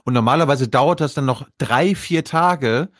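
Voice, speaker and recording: A man's voice: mid-range voice, normal speed, no room sound, clean studio sound. A man from Berlin co-hosting a casual chat, earnest and sometimes searching for words. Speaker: podcast co-host.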